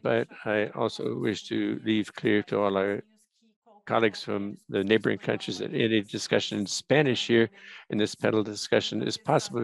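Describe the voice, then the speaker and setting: A man giving simultaneous interpretation of a panel discussion, talking in halting bursts with restarts and fillers. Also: fairly low pitch, gravelly, rough recording. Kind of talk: live interpretation of a webinar panel.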